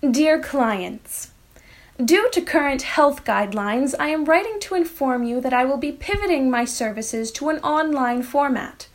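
A woman's voice: high-pitched, lively intonation, unhurried. A woman reading a comic monologue aloud with a mock-grand, formal manner. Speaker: writer reading own comic piece.

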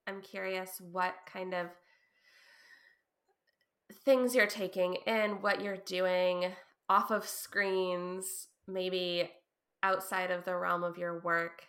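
A woman's voice: on the low side, flat delivery, takes it slowly. A woman hosting an interview podcast as a therapist, affirming her guest often with short backchannels. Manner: warm affirming listener, frequent "mm" and "yeah". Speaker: podcast host, trauma therapist. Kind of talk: casual interview and scripted outro.

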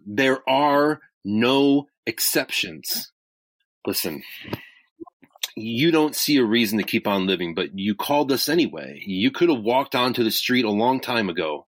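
A man playing an earnest, caring crisis hotline volunteer in an audio drama, speaking in a measured, hesitant, sincere way. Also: medium pitch, expressive, no room sound, poor audio. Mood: calm, concerned. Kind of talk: naturalistic two-person audio drama acting.

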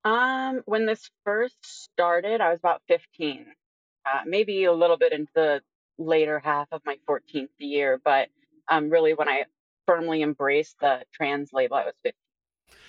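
Female guest, early 20s, measured and conversational, slightly muffled lo-fi audio with little room sound. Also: low voice, no echo. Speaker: interview guest. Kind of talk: reflective personal account in conversation.

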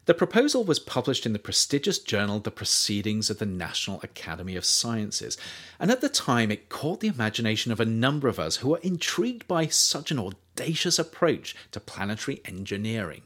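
A man narrates his own nonfiction book in an audiobook reading. His voice sits low, but his pitch rises and falls with lively, animated emphasis. He keeps a measured, steady delivery throughout. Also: slightly rough.

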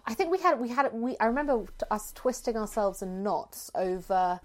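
A woman's voice: on the high side, animated, normal speed. Thoughtful woman thinking aloud in conversation. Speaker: writer discussing their own show. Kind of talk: casual reflective conversation.

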